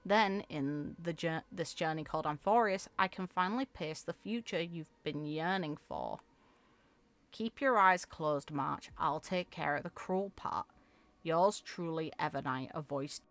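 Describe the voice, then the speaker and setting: A woman with a slightly low voice reads video game story text aloud. She is an earnest, expressive reader with the informal feel of a gaming creator. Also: unhurried. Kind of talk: reading story text aloud with casual reactions.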